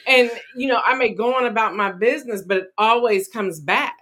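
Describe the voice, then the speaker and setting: A woman's voice: fairly high pitch, fairly quick. A woman guest, an author, sharing her faith story in conversation, acting out her own exchanges with God. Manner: animated storyteller, acts out her own prayers. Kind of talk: faith-based testimony in conversation.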